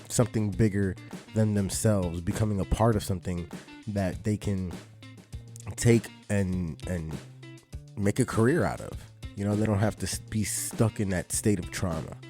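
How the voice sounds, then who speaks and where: A man hosting a podcast, talking through the intro and announcements in an easygoing, conversational way, a little hoarse. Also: sits low, warm tone. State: slightly hoarse. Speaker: podcast host.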